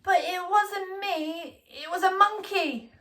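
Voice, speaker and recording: A woman acts out a little boy from a children's storybook. He is cheeky yet sheepish, pleading his innocence in a high, bright voice with hesitant, drawn-out protests. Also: exaggerated voice.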